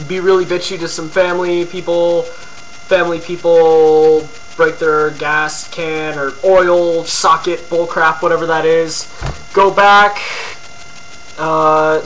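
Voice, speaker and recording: Casual young man vlogging at home, bright tense voice, faint mains hum under a webcam mic. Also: sits high, poor audio. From Ontario, Canada. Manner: rambling, lots of ums and likes, crude jokes. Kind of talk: casual rambling video blog.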